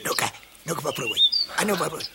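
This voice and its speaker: A man's voice: fairly high pitch, expressive, quick pace, very noisy. A man playing a jovial, crafty, folksy workman who coaxes and repeats his words, in a radio play style. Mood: coaxing.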